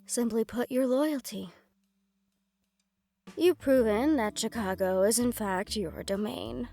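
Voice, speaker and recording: A woman's voice: on the high side, slow, creaky, mellow, soft background music, heavily acted. A woman voicing a composed, condescending female mafia underboss in an audio roleplay, mock-polite and coolly threatening. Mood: coolly businesslike.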